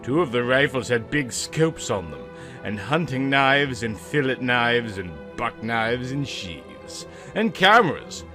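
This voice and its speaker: A man's voice: medium pitch, lively intonation, takes it slowly, over music. A man narrating a story in a theatrical, comic reading style, with dramatic, emphatic phrasing.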